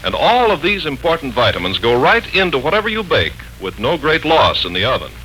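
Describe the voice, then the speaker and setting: An American man announcing and narrating from a script in polished, deliberate 1940s radio style. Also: fairly high pitch, huge pitch swings, sharp tone, a little hiss or hum, low-quality recording.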